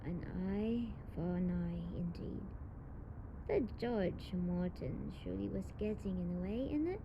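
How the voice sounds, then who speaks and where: Casting: actress as tough young delinquent woman with a soft side, audio roleplay, fry-laden voice, noticeable noise behind. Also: lowish voice, measured pace, highs cut off. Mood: cold, vengeful. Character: tough tsundere delinquent young woman, tender lover, vengeful sister. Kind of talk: intimate romantic audio roleplay acting. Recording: noisy home recording, audible background noise.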